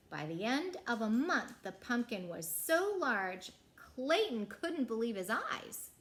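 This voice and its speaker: A woman reading a picture book aloud as a children's storyteller, with deliberate, dramatic phrasing. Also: medium pitch, a bit bright.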